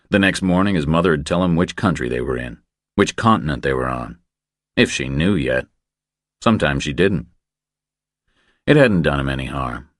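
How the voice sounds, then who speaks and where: A man's voice: low-pitched, no echo, studio quality. A man reading scripted thriller narration as an audiobook narrator.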